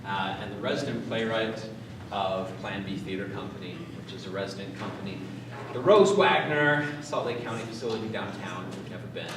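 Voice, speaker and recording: A man giving an informal public talk as a playwright, hesitant and pausing between short phrases. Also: lowish voice. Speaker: playwright introducing his play. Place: large reverberant room at a live event. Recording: very echoey room, distant mic, noisy.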